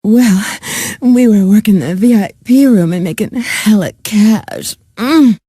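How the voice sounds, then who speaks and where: An actress playing a ditzy young nightclub dancer in a video game, drawling out slang like an airhead. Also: female voice, medium pitch, dark tone, husky, dry recording, studio quality. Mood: ditzy, dreamy. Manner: drawn-out, ditzy slang delivery.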